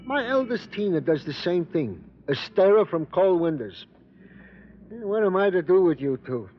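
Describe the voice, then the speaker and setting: A fussy, higher-voiced police sergeant. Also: male voice, sing-song. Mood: chiding, fond. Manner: florid, mock-formal, deliberately ornate. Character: wordy, fussy, fatherly male police sergeant. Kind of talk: 1950s radio character acting. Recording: muffled, noisy old radio recording.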